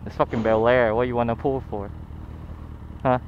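A man's voice: mid-range voice, little pitch movement, normal speed. A man riding along at night, teasing his friend bluntly in slangy short replies. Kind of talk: casual chat while riding. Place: outdoors on a motorcycle on city streets at night. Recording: muffled intercom line with loud road noise.

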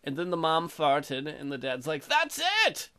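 A man's voice: fairly high pitch, steady tone, normal speed, fairly bright tone, smooth. A man giving comedic let's play commentary, reading game text aloud in a goofy, crude, improvised way. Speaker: gaming video host.

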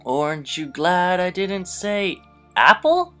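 Woman voicing a cheeky bear with animated delivery. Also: lowish voice, slow pace, faint music behind, exaggerated voice. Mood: excitable. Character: cheeky, exasperated young male bear. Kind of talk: amateur comedy cartoon parody dub.

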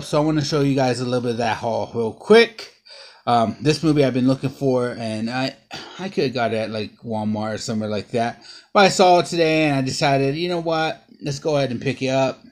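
A man's voice: mid-range voice, a little fast. Rambling man chatting at home on camera. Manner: rambling and chatty, full of ums and uhs. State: bruised and sore after a fall. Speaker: vlogger. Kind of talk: casual haul and unboxing vlog. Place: living room at home. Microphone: camera close up at low angle.

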